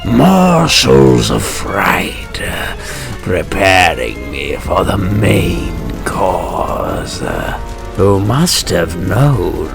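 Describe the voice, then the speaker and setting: Super slow gravelly comic villain, theatrical and menacing, low voice, orchestra score behind. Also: male voice, big pitch swings, over music, full character voice. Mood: savouring, menacing. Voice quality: gravelly, creaky. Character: sadistic, theatrical male supervillain obsessed with fear. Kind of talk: comic dub villain monologue.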